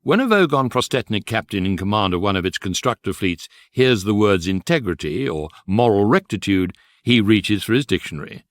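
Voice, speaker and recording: An actor playing a wry, erudite guidebook narrator in a sci-fi radio comedy. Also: male voice, on the low side, moderate pace, hardly any pauses, dry recording, clean studio sound. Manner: wry, deadpan irony.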